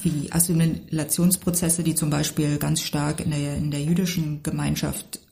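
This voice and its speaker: Low-voiced reflective woman from Berlin. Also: flat delivery, moderate pace, gravelly, dark tone. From Berlin, Germany. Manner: reflective, rambling, adds tag questions. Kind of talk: casual podcast conversation.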